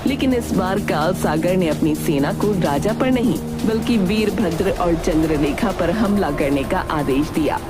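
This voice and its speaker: Lower-pitched woman narrating a tale. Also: animated, unhurried, few pauses. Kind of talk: scripted storytelling narration. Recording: music under the narration.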